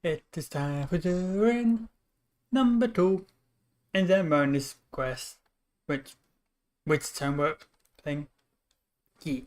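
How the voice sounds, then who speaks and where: A woman's voice: deep voice, slow pace, long pauses, a little mellow. A woman doing casual gameplay commentary as a streamer, with loose, hesitant phrasing. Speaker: game streamer.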